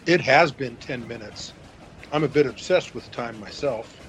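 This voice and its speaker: A man's voice: medium pitch, measured pace, a bit bright, natural voice. An actor plays an evasive man with something to hide in a radio drama. His mid-range voice is formal and hesitant, with faint noise behind it. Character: guarded, evasive man hiding his romance.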